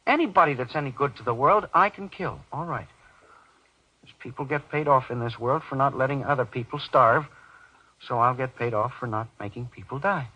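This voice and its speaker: Raspy, menacing little tyrant. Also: male voice, medium pitch, a little fast, pushed and bright, lo-fi sound. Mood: smug, insistent. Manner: taunting, falsely polite, ending statements with tag questions. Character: menacing little man drunk on deadly power. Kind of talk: golden age radio horror acting. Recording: old radio drama sound.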